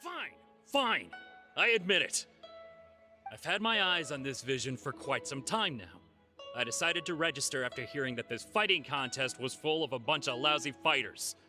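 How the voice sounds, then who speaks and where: Excitable anime-game thief: sharp tone, lively pitch swings, melodramatic exasperation. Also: male voice, moderate pace. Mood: grudging confession. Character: boastful, excitable male thief, easily exasperated. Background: background music.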